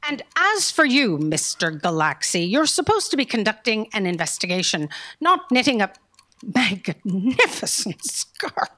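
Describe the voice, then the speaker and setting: A woman's voice: mid-range voice, very animated, measured pace, bright, forceful tone, heavily acted. A woman playing an impatient, imperious editor boss in a staged comedy audio drama, with a dry, commanding delivery. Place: theatre stage. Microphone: stand microphone.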